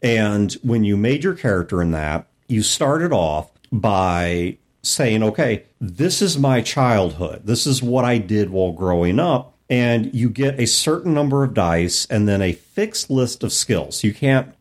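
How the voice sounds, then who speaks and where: Male podcast co-host, slightly low voice, very lively pitch, explanatory casual panel talk. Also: a little slow, hardly any pauses. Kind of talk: casual panel discussion of tabletop role-playing games.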